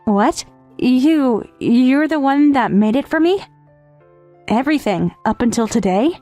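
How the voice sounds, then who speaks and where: A high, youthful voice for a grieving manga step-sister, acted by a woman. She confesses earnestly and hesitantly, with guilt and tenderness. Soft background music runs beneath the dramatic narration. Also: expressive, unhurried. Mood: surprised. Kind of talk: dramatic first-person narration in manga dub.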